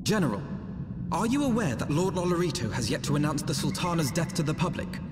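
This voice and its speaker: Man acting expressive, higher-voiced young noble. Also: very noisy, natural voice. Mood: earnest, deliberate. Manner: formal, articulate, measured rhetoric. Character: earnest, eloquent, thoughtful young nobleman adviser. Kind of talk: dramatic video game voice acting. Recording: echoey hall, loud music underneath.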